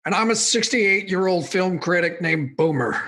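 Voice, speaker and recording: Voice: medium pitch, lively intonation, moderate pace, a bit bright. An actor playing a cranky, dismissive film critic in their sixties in a scripted comedy radio skit. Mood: grumpy. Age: 60s. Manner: grumbling, dismissive, combative. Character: cranky opinionated 68-year-old film critic, a baby boomer.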